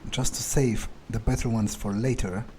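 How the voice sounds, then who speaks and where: A man's voice: lowish voice, fairly even intonation, moderate pace, dark tone. A man doing an in-character game playthrough as a self-assured, scornful vampire, thinking aloud in a hesitant, musing way. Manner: hesitant musing with muttered asides. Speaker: gameplay video player. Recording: noticeable background noise.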